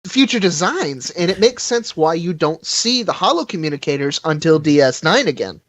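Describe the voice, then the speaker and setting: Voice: medium pitch, animated, normal speed, no room sound. A podcast panelist in a casual fan discussion, explaining opinions in a conversational way.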